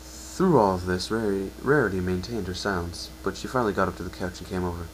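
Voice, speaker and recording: A man's voice: low-pitched, fast talker, a little hiss or hum, poor audio. A man giving a dramatic, scripted narration as a reader of fan fiction.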